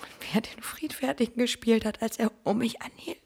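An actress playing a passionate, distraught young married woman in a radio play, with a breathy voice. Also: fairly high pitch, moderate pace, darkish tone, heavily acted. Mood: tearful whisper. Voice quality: breathy, often dropping to whisper. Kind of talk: intimate, emotional radio drama acting.

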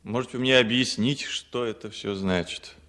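An actor playing a wary younger brother in a 1960s Soviet radio drama, blunt and questioning. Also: male voice, medium pitch, normal speed, fairly bright tone, lightly acted. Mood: demanding, suspicious.

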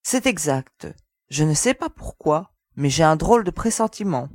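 A woman playing a cautious, protective young spaceship crew member in an audio sci-fi drama, speaking in a measured, firm way. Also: deep voice, expressive, no room sound. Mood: concerned.

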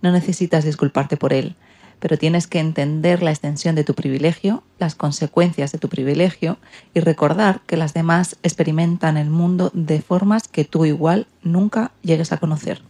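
A woman's voice: low voice, steady tone, moderate pace, dark tone. A woman hosting a radio programme, presenting from a script. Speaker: radio show host.

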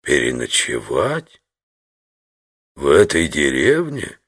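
An actor playing a wary old fisherman from a poor village in an audio drama, slow and questioning, curt but able to turn accommodating. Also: extremely low pitch, wildly swooping pitch, fairly bright tone, husky, exaggerated voice. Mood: probing. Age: older. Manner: slow, questioning, drawn-out reactions.

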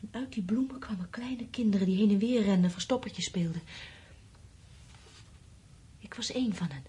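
An actress playing a feverish, frightened wife in a radio play, speaking intensely in a husky, breathy voice. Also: female voice, medium pitch, warm tone. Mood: dreamy, haunted. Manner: intense, halting recounting and insistent questioning. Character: feverish, frightened wife, haunted by bleak dreams.

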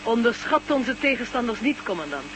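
A woman's voice: fairly high pitch, sing-song, moderate pace. A woman playing a composed, serious defector in a science fiction radio play, direct and formal in manner. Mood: grave, assured. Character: composed, serious female alien defector with commanding powers. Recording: noisy old radio recording with hum.

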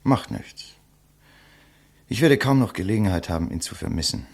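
An actor playing a condemned writer, composed and bitterly ironic, in a measured, eloquent 1950s German radio play style. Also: male voice, deep voice, lively intonation, vocal fry, a little mellow. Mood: dry, composed.

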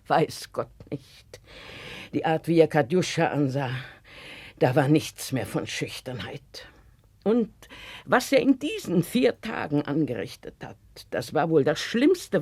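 An older woman playing a bitter, watchful old housekeeper in a 1960s German radio play, with measured, brooding delivery. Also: low-pitched, expressive, a little mellow, slightly rough, no room sound, full character voice.

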